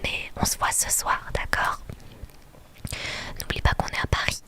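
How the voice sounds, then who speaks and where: A woman's voice: measured pace, close to an everyday voice. A woman voicing a bubbly, friendly, chatty young woman from a story game in a whisper. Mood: hurried, friendly.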